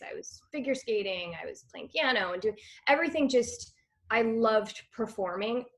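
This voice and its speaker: A woman in her thirties from Montreal, a guest actress talking casually in an interview and telling stories with frequent laughs. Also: medium pitch. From Canada, Montreal. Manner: chatty, laughs often, animated storytelling.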